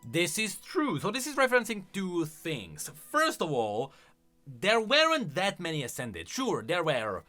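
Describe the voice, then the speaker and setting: A man giving casual, opinionated commentary as a gaming YouTuber, explaining as he goes in an animated way. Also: sits high, a little slow. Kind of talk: casual commentary on game voice lines. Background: faint music.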